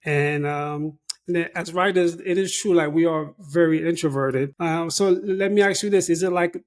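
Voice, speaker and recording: A man with a slightly higher voice runs a casual interview about screenwriting. He stops and starts often, padding his questions with "uh" and "you know.". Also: moderate pace. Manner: hesitant, many "uh" and "you know" fillers. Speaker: podcast host.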